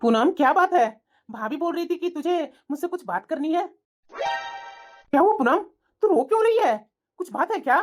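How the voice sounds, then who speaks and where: A woman plays a young husband in a domestic melodrama. The voice is high-pitched for the part. He speaks earnestly, reassuring his wife and standing firm. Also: expressive, normal speed, pauses often, soft background music. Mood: concerned, reassuring. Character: supportive, resolute young husband. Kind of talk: melodramatic family story dialogue.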